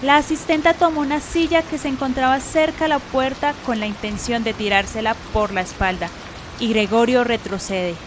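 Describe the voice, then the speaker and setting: A woman's voice: on the high side, steady tone, moderate pace, noisy background. A woman narrating a radio drama in a scripted storytelling style.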